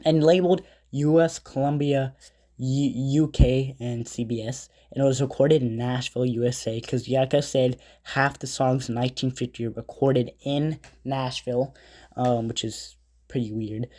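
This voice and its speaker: A man's voice: medium pitch, steady tone, normal speed, mellow, no room sound, clean studio sound. A man hosting a casual podcast, rambling through notes with asides and self-corrections, his nose stuffy from a cold. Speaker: podcast host. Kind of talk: casual podcast monologue reading album facts.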